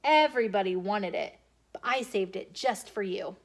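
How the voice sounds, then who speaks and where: A woman's voice: medium pitch, lively intonation, moderate pace. A woman reading the part of a coaxing, placating male schoolteacher in a children's storybook, persuading like a salesman.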